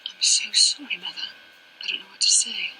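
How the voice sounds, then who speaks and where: A woman's voice: medium pitch, brisk, bright, forceful tone, in a whisper, poor audio. A woman playing a proud, guarded young warrior-monk and resentful daughter in a video game, speaking formally, clipped and earnest. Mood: ashamed, sorrowful. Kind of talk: dramatic scripted game dialogue.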